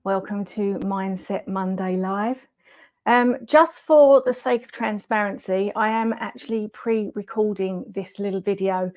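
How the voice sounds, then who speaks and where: A middle-aged woman talks to her viewers in a friendly, unhurried way, often pausing on "um". Her voice sounds nasal and stuffy from a cold. Her pitch sits in an ordinary middle range for a woman. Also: a little mellow. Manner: chatty, many fillers. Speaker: wellness coach. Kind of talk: pre-recorded live video talk.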